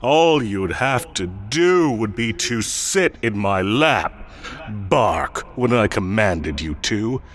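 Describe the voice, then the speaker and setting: A man's voice: lowish voice, expressive, fairly bright tone. A man playing a smug, possessive and menacing fae king in roleplay audio, theatrical and condescending as he speaks directly to the listener. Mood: teasing, seductive. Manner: theatrical, condescending, slow deliberate coaxing.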